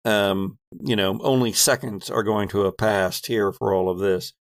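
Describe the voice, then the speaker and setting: A man running a horror tabletop game as game master, narrating in a deliberate, descriptive way with pauses mid-sentence. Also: lowish voice, no room sound.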